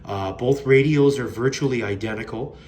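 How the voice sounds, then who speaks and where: A man's voice: mid-range voice, moderate pace, darkish tone. A man giving a casual, unscripted product review in a plain-spoken, mildly critical way. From Canada.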